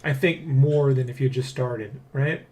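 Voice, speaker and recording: A man hosting a casual dev blog, talking in a rambling, excitable way and often checking for agreement. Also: medium pitch, unhurried. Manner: rambling, keeps seeking agreement with "right?" and "you know?". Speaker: dev blog host and comic artist. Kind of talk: casual dev vlog chat, addressing viewers and co-worker.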